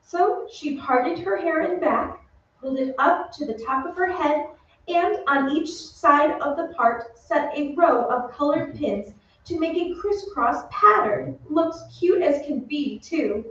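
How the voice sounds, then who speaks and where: An actress playing a chatty, gushing Hollywood beauty reporter in a 1940s-style radio commercial on stage. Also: female voice, high voice, lively intonation, measured pace. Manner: bright-worded, gossipy, scripted banter. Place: theatre stage, away from the mic. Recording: very echoey stage, distant, dull, faint noise.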